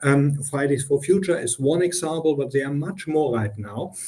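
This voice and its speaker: Middle-aged German-accented guest in a business interview, warm and relaxed tone, earnest, many ums. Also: male voice, a little high, moderate pace. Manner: earnest, explanatory, frequent ums and restarts. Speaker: guest consultant.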